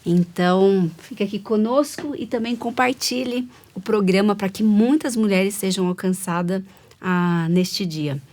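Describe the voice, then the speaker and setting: A woman's voice: mid-range voice, measured pace. A woman hosting a faith-based women's talk show, with a voice in the middle range. She welcomes her guests and her viewers and keeps the talk moving with frequent agreeing murmurs. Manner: warm, affirming, frequent "uhum" backchannels.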